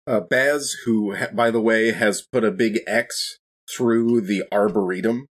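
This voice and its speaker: Husky, very low-voiced man, casual. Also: unhurried. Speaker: tabletop game player. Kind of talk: casual in-character tabletop discussion.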